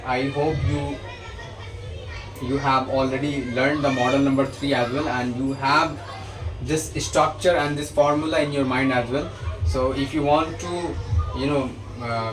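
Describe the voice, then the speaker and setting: An English teacher with an Indian English accent explains grammar, often stammering and restarting his sentences. His voice sits a little high, and he sounds set back from the mic. Steady background noise runs under the recording. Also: far from the mic. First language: Hindi. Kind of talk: bilingual grammar lesson.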